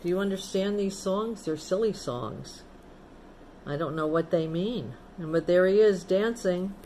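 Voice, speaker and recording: A woman reads a children's storybook at a slow, unhurried pace, like a teacher with a young class. She breaks off for chatty asides, simple explanations and questions to the listeners. There is faint noise behind her voice. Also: lowish voice, warm tone. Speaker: teacher reading a picture book to children.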